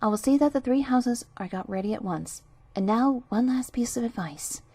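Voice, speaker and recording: A woman's voice: fairly high pitch, lively intonation, normal speed, mellow, husky, dry recording. A woman voicing an old, feeble mother pig who gives her piglets earnest warnings in a storybook reading. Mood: pleading.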